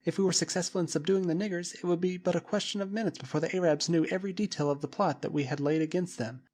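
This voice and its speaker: A man's voice: high voice, fast, a little mellow, voice pushed up. A man voicing a decisive young woman who firmly leads a group in danger. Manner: firm, decisive. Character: commanding young woman, cool-headed leader.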